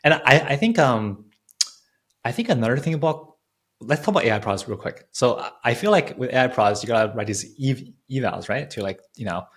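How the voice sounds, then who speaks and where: A man hosting a casual podcast interview, asking informal questions in a halting way with repeated words. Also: on the low side, a bit bright.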